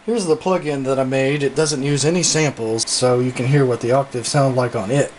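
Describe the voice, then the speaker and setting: A man's voice: mid-range voice, steady tone, brisk, noisy background. A man giving a casual product review and demonstration as a synthesizer reviewer. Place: indoor home studio with synths. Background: synthesizer and drum machine music.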